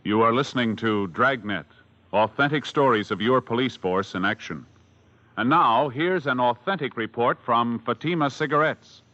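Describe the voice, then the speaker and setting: A man's voice: mid-range voice, normal speed, bright, forceful tone, no echo, soft background music. An American man working as a 1950s radio announcer, reading introductions and sponsor copy in a formal, emphatic style. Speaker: show announcer. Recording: dry, muffled vintage radio recording.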